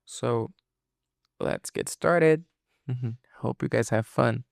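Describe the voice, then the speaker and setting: Casting: male podcast host, native Portuguese speaker, informal explainer, noticeable vocal fry, very dry close sound. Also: medium pitch, wildly swooping pitch, very slow, dry recording. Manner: informal, explanatory, often checking in with "you know" and "okay". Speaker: podcast host and English teacher. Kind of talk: casual podcast monologue to listeners.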